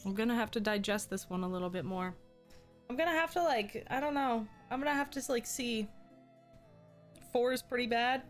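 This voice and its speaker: A woman's voice: on the high side, moderate pace, soft background music. A young woman streamer chatting casually with her viewers, a little sick and losing her voice, with frequent ums. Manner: chatty, reads and answers chat, frequent ums. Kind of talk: casual livestream chat.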